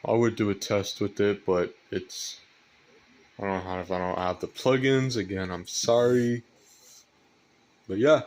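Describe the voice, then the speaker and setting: Young guy doing a casual vlog showing his stuff, kinda low voice, hesitant, rambles and repeats phrases. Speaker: collector presenting weather radios. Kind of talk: casual show-and-tell vlog. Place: indoors at home at night.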